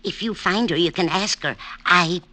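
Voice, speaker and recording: A woman's voice: lowish voice, moderate pace, sharp tone. An actress playing a shifty, evasive female ex-con in an old-time radio crime drama. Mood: pleading, evasive. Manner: pleading, evasive, hesitant.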